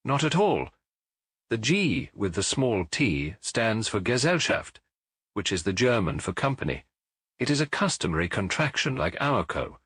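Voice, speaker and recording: A man voicing a keen, self-assured Victorian gentleman detective in an audiobook, with a British accent and an incisive, didactic manner. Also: on the low side, sing-song, measured pace, slightly rough, dry recording, clean studio sound, heavily acted. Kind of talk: audiobook character voice.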